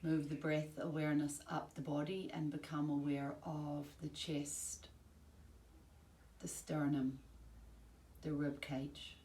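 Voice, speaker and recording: A woman teaching yoga nidra, giving calm, soothing, measured guided-relaxation instructions with long pauses. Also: low-pitched. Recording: roomy, distant mic, faint background noise.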